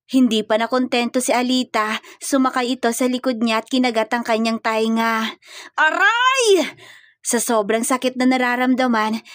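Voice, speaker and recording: A woman's voice: a little high, no room sound, clean studio sound. A woman with a Filipino accent reading a scripted dramatic story as its narrator.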